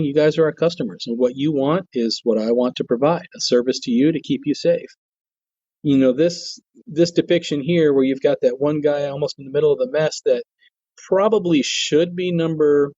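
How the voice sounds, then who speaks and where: A man with a mellow, relaxed voice talks as an interview guest about his job. He is earnest and folksy, explaining things conversationally. The sound is dry and a little muffled, like modest interview audio. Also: fairly high pitch, no echo. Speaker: guest, air traffic control tower manager.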